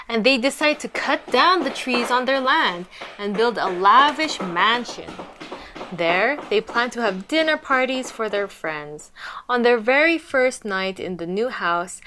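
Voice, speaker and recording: Expressive woman storyteller, noisy recording. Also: a little high. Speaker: children's storyteller. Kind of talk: storybook read-aloud.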